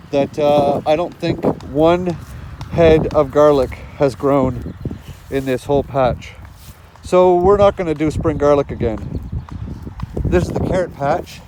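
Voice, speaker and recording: A man's voice: fairly high pitch, background noise. A man with a sniffly cold, casually walking through his garden in the evening and talking about his plants, with lots of fillers and restarts. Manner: rambling, with frequent fillers and restarts. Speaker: gardening vlogger. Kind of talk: casual walk-through narration. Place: outdoor garden plot in the evening.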